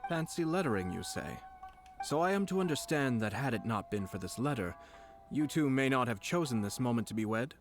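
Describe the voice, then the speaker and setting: A man voicing a calm, shrewd nobleman in a game, speaking in a formal, measured and tactful way. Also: medium pitch, over music, natural voice. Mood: sly, tactful. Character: courteous, shrewd nobleman, calm and diplomatic commissioner. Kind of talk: scripted game dialogue.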